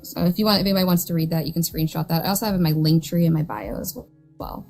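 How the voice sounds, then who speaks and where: A woman in an online debate, briskly laying out a source in a matter-of-fact way. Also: low voice, fairly even intonation, gravelly, music in the background.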